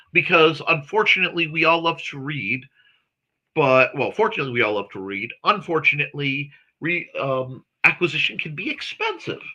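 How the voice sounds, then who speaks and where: A man hosts a laid-back livestream, chatting with viewers as though they were in the room. His very bright, sharp voice swings up and down lively as he rambles along and keeps correcting himself mid-sentence. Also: on the high side, rough recording. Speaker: host of a morning talk stream. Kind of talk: casual livestream chat, answering viewers.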